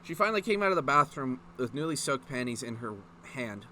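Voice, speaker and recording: A man's voice: medium pitch, sing-song, fairly quick, sharp tone, slight background noise. A man reading a story aloud in a mocking, informal way, breaking off to add sweary, incredulous commentary. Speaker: online video host reading fan fiction aloud.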